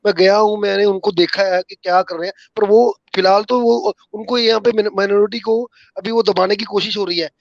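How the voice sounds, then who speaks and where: A man's voice: high voice, steady tone, a little fast, creaky, hardly any pauses, no echo, dull audio. A man from Punjab, India, with a Punjabi accent, calling in to chat casually about politics in a deferential way. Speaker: caller.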